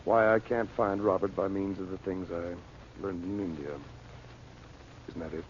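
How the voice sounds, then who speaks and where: An actor playing an earnest, determined mystic adventurer in an old-time radio serial. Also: male voice, deep voice, steady tone, normal speed, lightly acted. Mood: earnest, reassuring. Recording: dull, noisy vintage radio recording.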